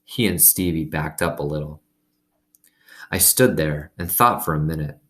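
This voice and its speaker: A man's voice: rumbling low, normal speed, fairly bright tone. A man reading a children's story aloud expressively, with casual asides to his listeners. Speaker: reader reading a children's novel aloud to students.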